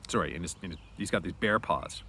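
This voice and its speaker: A man's voice: sits low, fast talker, sharp tone, a little hiss or hum. A man narrating a story aloud in an informal way, with casual asides.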